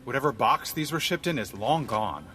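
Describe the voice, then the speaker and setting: A man's voice: medium pitch, animated, moderate pace, pushed and bright, a little hiss or hum. A man playing an impatient, doubtful detective's partner in an audio drama, sarcastic and griping. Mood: puzzled.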